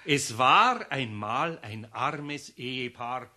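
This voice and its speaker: A man's voice: mid-range voice, expressive, sharp tone, exaggerated voice. A man with a Bavarian accent playing a kindly, good-natured older master carpenter in a radio play, chuckling heartily. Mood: fond. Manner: chuckling, hearty, drawn-out exclamations. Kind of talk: 1960s German radio play acting.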